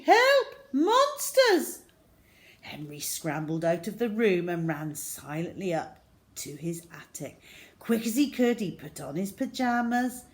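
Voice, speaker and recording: A woman reading a story to children as host, dramatic, with a way of dropping into suspenseful hush. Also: on the low side. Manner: dramatic, with hushed suspenseful drops.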